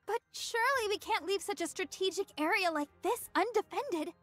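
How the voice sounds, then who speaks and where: Earnest little android girl, squeaky-high, swooping intonation, timid, anime game, music underneath. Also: lively intonation, moderate pace, fairly bright tone, dry recording. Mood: anxious.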